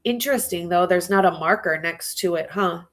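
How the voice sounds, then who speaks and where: A woman's voice: on the low side, normal speed. A woman hosting a true crime livestream, musing aloud to her viewers with a stuffy nose. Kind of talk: casual live-stream commentary.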